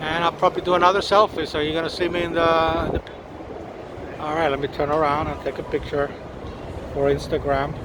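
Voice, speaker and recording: Guy vlogging a city river walk on his phone, kinda high voice, keeps saying look at this, busy street noise behind. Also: little pitch movement, brisk. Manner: enthusiastic, repeats words, restarts phrases. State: slightly hoarse, coughing. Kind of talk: casual walking commentary. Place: outdoors on a city riverside walkway. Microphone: handheld camera or phone while walking. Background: street noise, sirens, boats.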